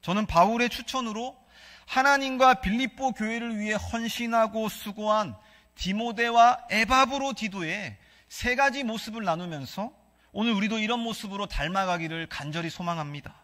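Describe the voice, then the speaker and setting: High, bright Gyeongsang-accented preacher in echoing church. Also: male voice, normal speed. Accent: Gyeongsang Korean. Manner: earnest preaching, asks rhetorical questions and leads congregation in reading aloud. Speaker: pastor. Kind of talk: sermon. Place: church sanctuary. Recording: long reverb of a church hall.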